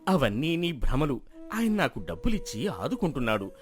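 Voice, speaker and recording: Man acting stubborn, desperate farmer, animated delivery. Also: medium pitch, moderate pace, faint music behind, lightly acted. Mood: stubborn, insistent. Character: desperate, stubborn, hopeful middle-aged village farmer. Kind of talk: dramatized folk moral story acting.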